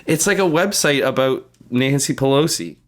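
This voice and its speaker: A man's voice: medium pitch, lively intonation, moderate pace. Man, early 30s, mid-range voice, for loose podcast banter with plenty of swearing and joking anecdotes. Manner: crude, heavy swearing, slangy. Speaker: podcast co-host. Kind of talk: casual comedic chat, telling anecdotes.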